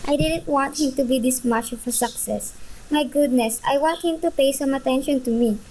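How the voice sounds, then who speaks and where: Woman acting pert teenage schoolgirl, high voice, possessive, huffy, radio play, slightly noisy recording. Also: moderate pace, a little mellow, close to an everyday voice. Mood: indignant.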